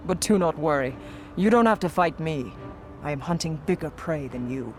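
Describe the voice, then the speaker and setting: A man playing a big, proud, easygoing hunter in a video game cutscene, hearty and unhurried. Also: on the high side, lively intonation, soft background music, natural voice. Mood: hearty, amused.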